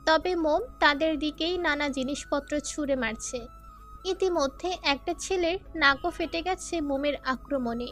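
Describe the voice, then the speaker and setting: Woman storyteller, pretty high voice, scripted narration w soft music behind. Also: a little slow. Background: faint music.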